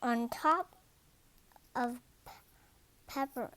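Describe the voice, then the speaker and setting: A young-sounding reader narrating a children's story aloud, deliberately and word by word. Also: medium pitch, pushed and bright.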